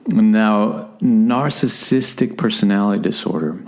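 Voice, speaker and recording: Low-voiced man explaining at a measured pace. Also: a little mellow. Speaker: podcast co-host, psychology expert. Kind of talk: relationship podcast conversation.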